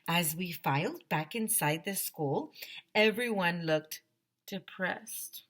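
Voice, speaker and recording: A woman teacher reading a children's storybook aloud, animated and emphatic, speaking directly to her young listeners. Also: lowish voice, slow.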